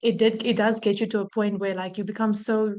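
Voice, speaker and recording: A woman's voice: mid-range voice, little pitch movement, a little fast, a little mellow. Blunt, frank South African woman. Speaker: podcast guest. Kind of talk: casual chat with a friend.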